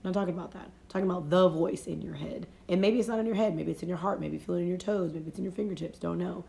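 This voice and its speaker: Mellow-voiced woman coaching with a cold. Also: on the low side, rapid-fire, gravelly. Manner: conversational, reflective, repeats and rephrases. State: has a cold, voice fading. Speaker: life coach. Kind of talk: motivational casual talk. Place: home livestream. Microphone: phone livestream.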